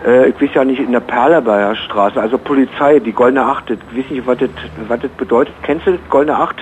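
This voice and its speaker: A man calling a radio show, rambling and halting as he searches for words. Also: medium pitch. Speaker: caller to a radio phone-in. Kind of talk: rambling casual storytelling. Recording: dull, noisy radio call recording.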